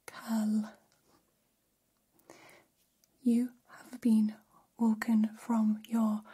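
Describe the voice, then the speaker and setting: A woman voicing a gentle, formal alien caretaker in an ASMR roleplay, whispering softly with slow, deliberate phrasing and long pauses. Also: fairly high pitch, full character voice. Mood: soothing, formal. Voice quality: soft, breathy whisper. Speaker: ASMR performer. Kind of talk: ASMR roleplay, personal attention.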